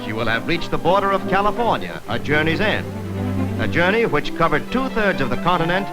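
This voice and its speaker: A man's voice: on the high side, very animated, fairly quick, music in the background, rough recording. A man narrating a script in 1930s newsreel style, on an old film soundtrack.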